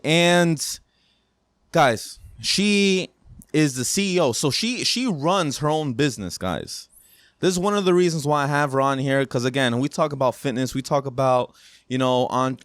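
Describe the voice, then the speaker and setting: A man's voice: mid-range voice, dry recording, clean studio sound. A man hosting a casual podcast interview, joking loosely and often repeating himself as he talks. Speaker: podcast host.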